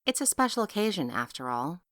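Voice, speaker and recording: A woman voicing a guarded young woman with a teasing edge. Her voice sits a little higher than the narration, bright and crisp. Dry, close sound. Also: very animated, brisk, no echo.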